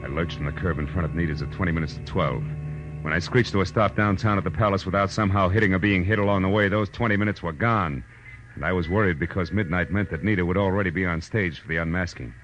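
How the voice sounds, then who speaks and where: A low-voiced, sardonic private eye played by a male actor tells his case in clipped, tough phrases. It is old-time radio noir, on a dull vintage recording with music underneath. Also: quick pace, close to an everyday voice. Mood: tense, brisk. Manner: wry, clipped tough-guy delivery. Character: hard-boiled male private detective, wry and sardonic, narrating his case. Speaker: lead actor and narrator. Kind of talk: 1940s radio noir acting and narration.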